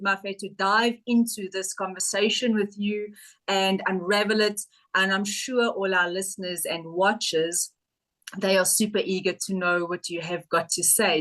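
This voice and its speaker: A woman's voice: mid-range voice, a bit bright, rough recording. Gushing woman podcast host. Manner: gushing, enthusiastic. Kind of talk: interview hosting.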